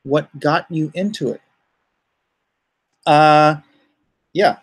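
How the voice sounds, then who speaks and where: A man hosting a livestream in a casual, chatty way, informal and given to excited exclamations, often halting as he goes. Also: a little high, slightly rough. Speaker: livestream host and comic artist. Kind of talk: casual livestream chat, reacting and thanking viewers.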